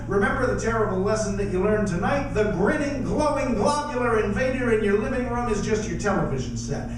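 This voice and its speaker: Oratorical, high-voiced professor, echoing. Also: male voice. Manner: formal, oratorical. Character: earnest, lofty professor astronomer explaining solemnly. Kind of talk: live radio drama acting. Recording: echoey hall from far off, music, audience.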